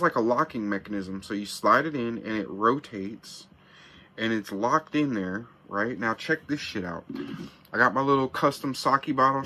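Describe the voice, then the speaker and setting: A man in his thirties with a bright, forceful voice at an ordinary pitch, talking up a gadget in street slang and cursing freely. He sits in a cramped camper van with the camera close in front of him. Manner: hyped, slangy, swears a lot. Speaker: product reviewer. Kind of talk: casual profane review vlog. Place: small camper van interior.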